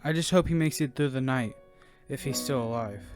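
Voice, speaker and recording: A man's voice: medium pitch, brisk, over music, natural voice. A man acting in an amateur audio drama as an earnest, impulsive young fighter in a battle game. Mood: worried, sad. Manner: earnest, urgent.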